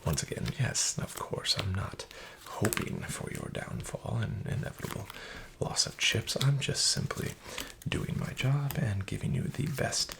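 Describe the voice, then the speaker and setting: Guy doing a shady casino dealer asmr, super deep slow whisper, fake polite and smug. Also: lively intonation, vocal fry, background noise. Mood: smarmy. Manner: soft, half-whispered, smarmy and falsely polite. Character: sketchy smug male casino dealer, condescending. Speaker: ASMR roleplayer. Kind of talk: soft-spoken ASMR roleplay.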